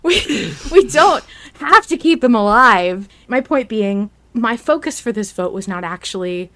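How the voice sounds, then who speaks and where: A woman's voice: fairly high pitch, very animated, unhurried, fairly bright tone. A woman hosting a fan podcast, talking casually in a candid, analytical way and swearing now and then. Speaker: podcast host.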